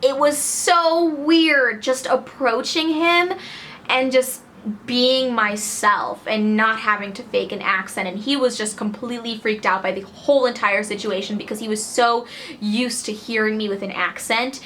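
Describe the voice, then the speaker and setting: A woman from Connecticut telling a story to camera in a chatty, lively way. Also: on the high side, a little slow, a little hiss or hum. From Connecticut, USA. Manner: chatty, lively, acts out quoted voices. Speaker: vlogger.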